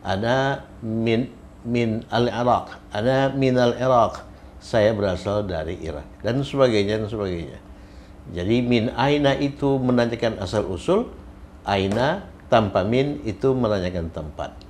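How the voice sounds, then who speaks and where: A man's voice: fairly low pitch, animated, a little slow, a little mellow, a little hiss or hum. A man teaching an Arabic grammar lesson, patiently repeating each example and its translation.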